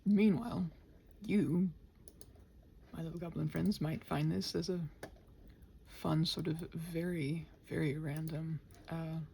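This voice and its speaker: Woman, playful and scattered ASMR creator, mellow with plenty of vocal fry, rambling casually and muttering while she works. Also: low-pitched, steady tone, takes it slowly, pauses often, slight background noise. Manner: playful, chatty, talks to her computer. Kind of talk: casual unscripted body-doubling ramble.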